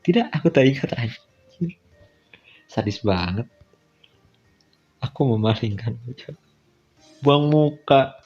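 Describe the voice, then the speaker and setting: A man's voice: medium pitch, animated, slow, long pauses, a little mellow. A man with an Indonesian accent reading game dialogue aloud, with casual, slangy side comments. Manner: slangy, informal interjections. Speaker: visual novel let's-play streamer. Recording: dull lo-fi sound with music. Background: background music.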